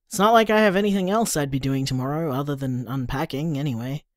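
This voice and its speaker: An actor playing an affectionate, gently rambling young Australian boyfriend in an audio drama told through voicemails. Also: male voice, a little high, quick pace, dry recording, studio quality, natural voice. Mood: caring.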